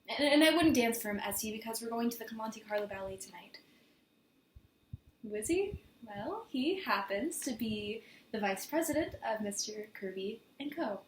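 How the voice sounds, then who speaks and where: A woman performing a stage monologue as an earnest, flustered young woman in love, speaking haltingly with stammers. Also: on the high side, natural voice. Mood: nervous, pleading. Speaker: amateur actor performing a monologue. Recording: echoey room with faint noise.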